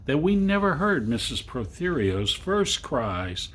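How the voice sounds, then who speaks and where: A man reading a literary story aloud to children, with dramatic, deliberate phrasing and long pauses. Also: fairly high pitch, big pitch swings, a bit bright, breathy edge. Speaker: reader. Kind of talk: expressive storybook narration for children.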